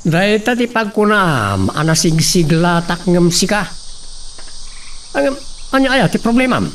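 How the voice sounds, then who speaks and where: A man's voice: high-pitched, very animated, measured pace, a bit bright, full character voice. A man with an Ilocano accent acting as a defiant, scoffing hothead in a radio drama. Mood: dismissive. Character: defiant, scoffing hothead servant ready to retaliate. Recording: distant mic with faint insect noise.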